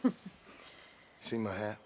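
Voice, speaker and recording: Dry, weary gunslinger. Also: male voice, lowish voice, sing-song, slow pace, slight background noise. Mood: subdued, dry. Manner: terse, formally polite, dry. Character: earnest, weary, dry male former outlaw gunslinger. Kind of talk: dramatic video game cutscene acting.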